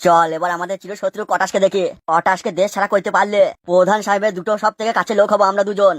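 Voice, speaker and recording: Woman as a bossy cartoon mason, rapid speech, dry close sound, crude and nagging. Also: medium pitch, little pitch movement, no room sound, lightly acted. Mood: gloating menace. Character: scheming, bossy adult male mason crony. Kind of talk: comic cartoon dialogue.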